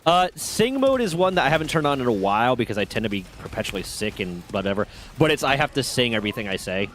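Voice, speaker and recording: A man's voice: medium pitch, very animated, fast talker, a bit bright, slight background noise. A man in his thirties streaming a game and chatting casually, rambling and swearing as he tells stories. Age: 30s. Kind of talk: casual gaming chat.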